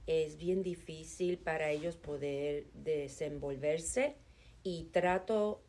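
A middle-aged woman from Chicago, answering interview questions calmly and earnestly, with hesitant fillers. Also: sits low, slow. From Chicago, United States. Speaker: interviewee, nonprofit administrative assistant.

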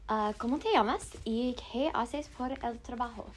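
A woman's voice: fairly high pitch, measured pace, slight background noise. A young woman, a student, asking interview questions politely and giving short encouraging replies. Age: young adult.

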